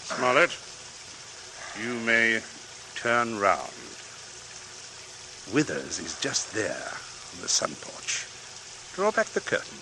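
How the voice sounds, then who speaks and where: Coldly menacing radio villain, noisy recording. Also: male voice, fairly low pitch, wildly swooping pitch, full character voice. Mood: commanding. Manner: measured, commanding, menacing. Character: cold, resourceful murderous father coolly blackmailing at gunpoint. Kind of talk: 1940s radio acting.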